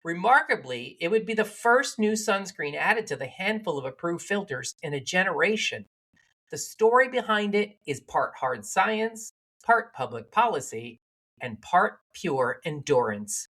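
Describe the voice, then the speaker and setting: Animated, laugh-prone podcast host man. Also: high-pitched, a little slow. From Connecticut. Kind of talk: casual interview chat.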